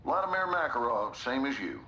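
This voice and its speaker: Echoey, scheming general. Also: male voice, mid-range voice, sing-song, fairly quick, bright, forceful tone, muffled recording, a little hiss or hum. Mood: smug. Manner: smooth-talking, commanding, goading. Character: scheming, self-assured disgraced older male general. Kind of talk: cinematic military game acting. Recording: echoey, under dramatic score. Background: dramatic music.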